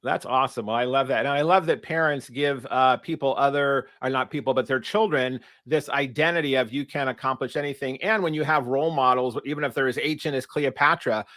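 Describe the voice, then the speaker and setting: A man's voice: mid-range voice, a little fast, hardly any pauses, a bit bright, dry recording. A man hosting a business podcast, asking long, winding questions with fillers and restarts. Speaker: podcast host.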